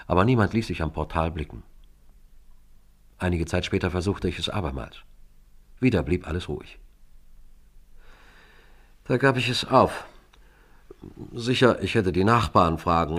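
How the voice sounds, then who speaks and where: An actor playing a dogged, brooding police sergeant who tells of his investigation in a measured, sober way in a German radio crime drama. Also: deep voice. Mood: measured recounting.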